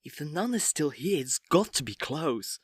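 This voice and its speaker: A man's voice: on the high side, very animated, moderate pace, husky, no room sound. A dry-witted male sidekick, with a very swooping intonation in a close, dry recording. The banter is teasing, in audio drama style.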